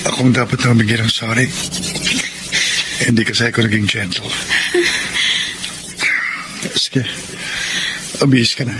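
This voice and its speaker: An actor playing a gentle, guilt-ridden man who speaks reassuringly in a Tagalog radio drama. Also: medium pitch, flat delivery, measured pace, pauses often, heavy background noise, close to an everyday voice. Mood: guilty, reassuring. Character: Lester, gentle, guilt-ridden male client. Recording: radio drama with loud music behind.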